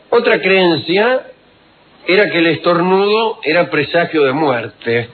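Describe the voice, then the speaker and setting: A man, telling historical anecdotes on the radio in an unhurried, wry, digressive way full of fillers. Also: on the high side, a little hiss or hum. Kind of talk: rambling storytelling on radio. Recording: tinny phone-like radio recording.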